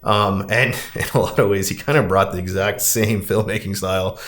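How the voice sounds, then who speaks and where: A man's voice: fairly low pitch, fairly even intonation, normal speed, fairly bright tone. A man hosting a podcast, chatting casually about movies in a rambling, conversational way. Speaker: podcast host.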